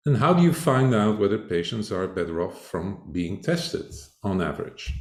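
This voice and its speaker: An older man from Flanders, Belgium, giving a measured academic conference talk as a speaker. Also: fairly low pitch, a little mellow, echoey room. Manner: measured, deliberate lecturing.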